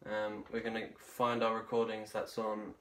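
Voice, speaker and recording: A young man giving a casual hands-on tutorial, talking haltingly as he works with his hands. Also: fairly low pitch, completely flat delivery. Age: young adult. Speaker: tutorial presenter. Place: small home bedroom at a desk, indoors. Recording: noisy room echo with clicks.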